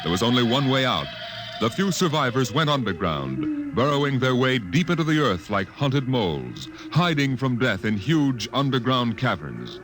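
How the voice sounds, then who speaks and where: Old timey radio narrator guy, portentous and dramatic, big swings in pitch, loud music behind. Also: sing-song. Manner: portentous, measured. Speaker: announcer and narrator.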